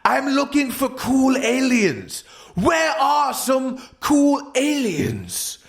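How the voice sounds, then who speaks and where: Man putting on a high, childishly excited winged monster. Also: very slow, creaky, heavily acted. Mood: gleeful, naive. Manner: exclaiming, childishly enthusiastic. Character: cheerful, naive, impulsive winged male monster hero.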